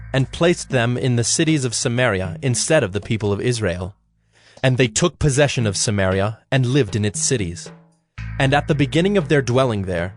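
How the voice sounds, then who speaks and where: A man narrating scripture from a script in a solemn, measured, formal manner. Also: mid-range voice.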